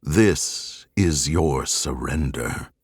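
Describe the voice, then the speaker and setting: A man voicing a cold, commanding female artificial intelligence in an audiobook, speaking in a steely, slow, deliberate way. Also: very deep voice, darkish tone, husky, dry recording, clean studio sound, voice pushed down, heavily acted. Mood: cold menace. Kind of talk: audiobook character voice.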